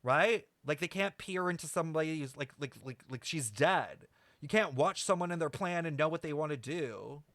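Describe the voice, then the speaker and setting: High-voiced man, animated sweary streamer. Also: moderate pace, dry recording. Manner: animated, sweary, slangy, addressing chat. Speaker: streamer reacting to a video game. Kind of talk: casual livestream commentary and lore speculation.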